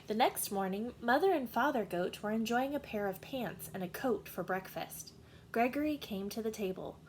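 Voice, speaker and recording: A woman reads a children's storybook aloud in a lively, expressive voice that moves around a lot. Her pitch sits a little high, and there is some background noise. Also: moderate pace. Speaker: teacher reading a children's storybook. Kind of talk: animated read-aloud storytelling.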